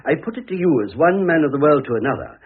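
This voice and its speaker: An actor in an old-time radio drama playing a pompous, self-assured gentleman suitor with a condescending manner. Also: a little high, big pitch swings, fast, slightly rough, full character voice. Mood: haughty, confrontational. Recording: muffled, narrow-band old radio recording.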